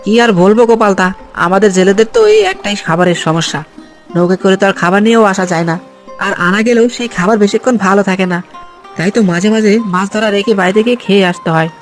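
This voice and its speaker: A man's voice: sits high, quick pace, lightly acted. A man playing a hungry, doubtful village fisherman in a cartoon, chatty and respectful. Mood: grumbling. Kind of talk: Bengali cartoon storytelling dub. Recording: voice over background music.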